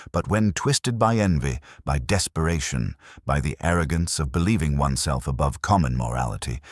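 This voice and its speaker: A man voicing a fussy, precise older Belgian detective who speaks in a measured, reflective murmur. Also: extremely low pitch, no echo, studio quality.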